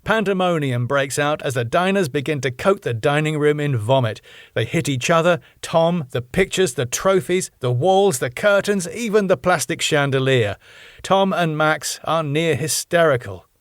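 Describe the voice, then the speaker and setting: Writer-reader, male, lively pitch, dry close-miked sound. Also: normal speed, no room sound. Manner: lively, animated delivery. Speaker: writer reading his own sitcom script. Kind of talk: script read-aloud with stage directions.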